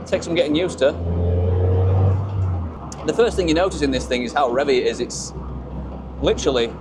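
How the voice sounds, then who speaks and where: A man's voice: fairly high pitch, lively intonation, normal speed. A man with a Yorkshire accent presenting a casual car vlog in chatty, colloquial British slang. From Yorkshire, England. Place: in and around a small open-top car outdoors. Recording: noisy, car and outdoor ambience.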